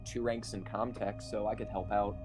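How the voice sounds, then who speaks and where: A man's voice: fairly low pitch, level tone, normal speed, a little mellow, faint music behind. A man chatting casually as a player in a tabletop roleplaying game.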